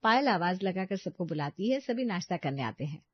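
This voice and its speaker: A woman's voice: fairly low pitch, big pitch swings, a little fast, slightly rough, no room sound, dull audio. A woman narrating a scripted story as its storyteller.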